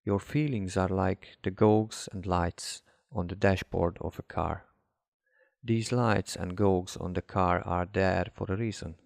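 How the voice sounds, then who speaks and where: Slow, low-voiced man guiding hypnosis. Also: fairly even intonation, vocal fry, no echo, clean studio sound. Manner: measured, instructive, deliberate phrasing with pauses. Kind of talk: guided hypnosis relaxation, scripted narration.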